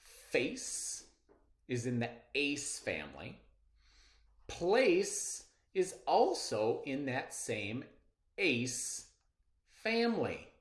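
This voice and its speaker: A man teaching young children to read, with an expressive, instructive delivery and emphatic phrasing, as in a read-aloud lesson. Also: mid-range voice, drawn-out words, pauses often, echoey room. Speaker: elementary reading teacher.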